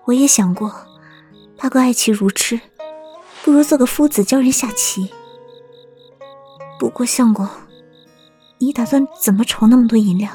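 A woman playing a gentle, caring young wife in a Chinese period audio drama. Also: a little high, normal speed, pauses often, lightly acted. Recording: music behind the voice.

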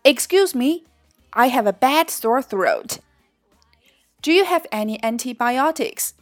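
A woman reading the lines of a polite female customer at a pharmacy in a clear, careful textbook manner. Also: high voice, animated, takes it slowly, dry recording, faint music behind.

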